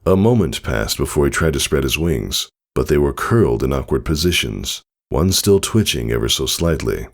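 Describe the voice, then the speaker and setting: A man's voice: extremely low pitch, very animated, normal speed, few pauses. A man narrating a scripted story in a dramatic reading style. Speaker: fan fiction narrator.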